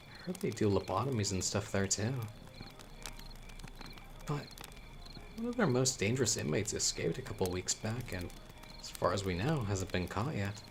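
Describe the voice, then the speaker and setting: A man playing a chatty young storyteller with hidden menace in a horror audio roleplay, talking casually with wry asides and hesitant pauses. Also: fairly low pitch, gravelly, slight background noise.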